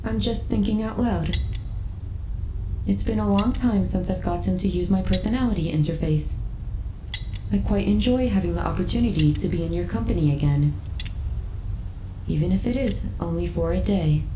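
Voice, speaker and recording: A woman playing a lonely, devoted female starship AI in a soft ASMR sci-fi audio roleplay, speaking in measured, politely formal phrases. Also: lowish voice, natural voice. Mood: politely reassuring. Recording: roomy echo, faint background noise.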